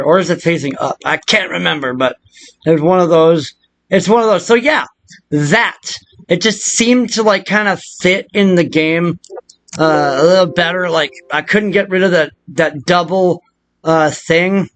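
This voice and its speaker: A man with a notably high-pitched voice walks through a game editing tutorial. He talks casually and loosely, thinking aloud as he goes, with an irreverent, rambling delivery. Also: no echo. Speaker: tutorial presenter. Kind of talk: casual, improvised screen-share game modding walkthrough.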